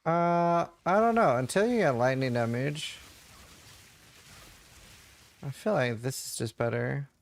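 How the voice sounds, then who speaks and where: A man's voice: mid-range voice, unhurried, pauses often. A man from Norway, casually chatting and thinking aloud while he streams a game and answers chat questions.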